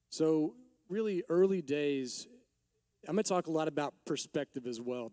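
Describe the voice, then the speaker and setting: A man in his forties from Texas, a business owner giving a rambling conference talk with many restarts, his voice a little hoarse from allergies. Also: medium pitch, warm tone, dry recording. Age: 40s. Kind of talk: conference speech.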